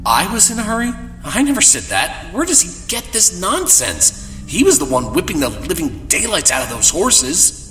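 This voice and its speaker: Nervous gentleman traveller: high, very animated, questioning, polite, for horror audio drama. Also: normal speed, faint music behind, full character voice. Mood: indignant.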